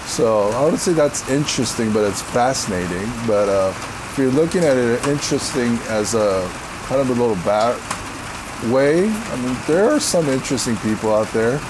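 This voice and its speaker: A deep-voiced man from Korea chats loosely through interview questions, full of fillers and easy laughter. His voice sits in an ordinary middle pitch. Heavy rain patters loudly behind him. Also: expressive, normal speed, noisy background. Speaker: interview guest. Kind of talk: casual interview answers.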